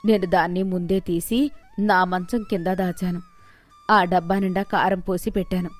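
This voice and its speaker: A woman's voice: fairly low pitch, fairly even intonation, moderate pace, faint music behind, voice pushed down, lightly acted. A woman voicing a crafty old mother-in-law in a story, commanding and scolding. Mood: calm, triumphant.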